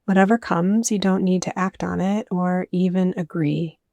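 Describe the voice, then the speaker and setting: A woman with a dark, relaxed voice that stays very even in pitch. She narrates a guided meditation in gentle, soothing invitations, recorded close and dry. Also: creaky, no room sound. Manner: soothing, unhurried phrasing with soft invitations.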